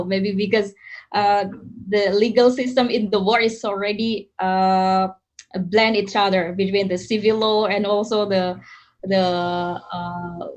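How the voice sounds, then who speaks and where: A woman with an Indonesian accent moderating an online panel, talking with many fillers and restarts over a video call. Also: medium pitch. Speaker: webinar moderator. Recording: muffled lo-fi video call audio.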